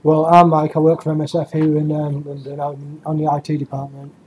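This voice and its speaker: A man with a slightly high but mellow voice introduces himself quickly and casually. He speaks in an almost flat monotone, with faint noise behind him. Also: poor audio. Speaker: IT staff. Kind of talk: round-the-table introduction.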